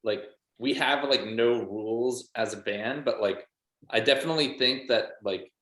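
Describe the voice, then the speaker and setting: A man's voice: medium pitch, normal speed, fairly bright tone. A young man in a band chatting casually in an interview, peppering his informal talk with "like". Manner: informal, heavy use of "like". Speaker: indie rock band member being interviewed. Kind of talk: casual chat. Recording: echoey, reverberant room sound.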